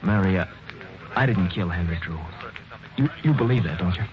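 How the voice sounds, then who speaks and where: A man's voice: low-pitched, animated, fairly quick. A man playing a shaken young man accused of murder in a 1940s radio drama, narrating his ordeal and stammering when nervous. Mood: anxious. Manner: stammering, anxious. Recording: lo-fi 1940s radio with music bed.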